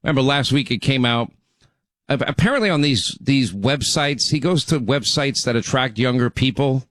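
A man's voice: mid-range voice, animated, moderate pace, fairly bright tone, dry recording. A middle-aged man hosting talk radio, chatty and rambling, with frequent restarts. His voice is still getting over laryngitis. He is recorded dry and close in the studio. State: recovering from laryngitis. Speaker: radio talk show host. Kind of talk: casual talk radio monologue.

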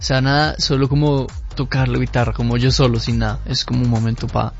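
A young man with a Bogotá accent, a guest musician who speaks softly and hesitantly in a relaxed interview. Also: mid-range voice, a little fast, highs cut off, soft background music. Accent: Bogotá Colombian. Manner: soft-spoken, hesitant, with fillers. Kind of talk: casual interview chat.

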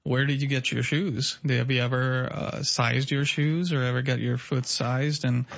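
Gravelly-voiced man. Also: medium pitch, flat delivery, moderate pace, a bit bright, no echo, muffled recording. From Pakistan. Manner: polite, plain, explanatory. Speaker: podiatrist guest. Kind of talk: radio interview answers. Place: radio studio.

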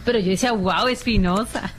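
Casting: woman, radio panelist, mid-pitched, informal and candid, noisy background. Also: fairly quick. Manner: informal, frank, drops in bits of English. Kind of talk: casual radio chat.

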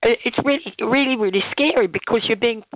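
NZ woman caller, croaky with a cold, stumbling and earnest, muffled phone sound. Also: fairly high pitch, noisy background. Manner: halting, many restarts and fillers, earnest. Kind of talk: talkback radio call. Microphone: phone line.

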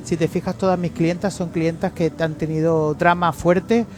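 A middle-aged man from the Canary Islands with a softened Canarian Spanish accent, chatting effusively as a makeup artist guest in a radio interview. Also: a little high, little pitch movement, moderate pace, slight background noise. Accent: Canarian Spanish, somewhat softened.

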